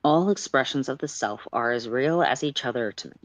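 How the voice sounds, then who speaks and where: Streamer reading as thoughtful otherworldly guide from a story, mid pitched, reflective, very dry sound. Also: brisk, dry recording, muffled recording, natural voice. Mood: thoughtful, gentle.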